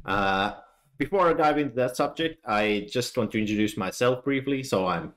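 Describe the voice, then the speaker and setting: A man's voice: medium pitch, animated, moderate pace. A man from Finland with a Finnish accent, giving a technical conference talk in a friendly, explaining way with many "uh" fillers. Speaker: conference speaker.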